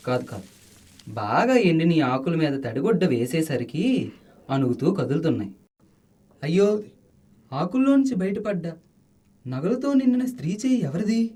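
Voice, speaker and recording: A man's voice: a little high, darkish tone. A man playing a humble, anxious, kindly Buddhist monk in a radio drama, exclamatory and solicitous.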